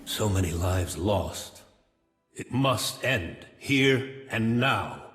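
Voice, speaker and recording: An actor playing an ancient, portentous Jedi spirit in a video game, urgent and foreboding. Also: male voice, on the low side, lively intonation, drawn-out words, fairly bright tone, soft background music, exaggerated voice. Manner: solemn, portentous. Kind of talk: dramatic game acting. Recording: echoing, roomy voice.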